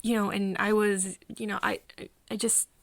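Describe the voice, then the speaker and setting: A woman playing a caring, flustered young woman in an ASMR roleplay, with a soft, breathy voice and a tender, stammering manner. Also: mid-range voice, flat delivery, normal speed, no echo, natural voice. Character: caring, flustered young woman soothing her vampire friend.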